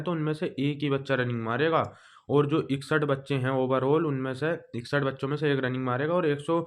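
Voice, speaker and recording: A man speaking as an exam coach, informally explaining to students in a direct, instructive way and repeating figures for emphasis. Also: mid-range voice.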